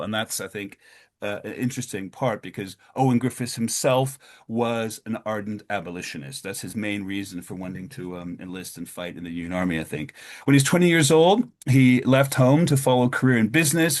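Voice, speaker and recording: A man giving a history talk over slides, with many fillers and restarts, his pitch lifting at each new point. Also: a little mellow. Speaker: lecturer.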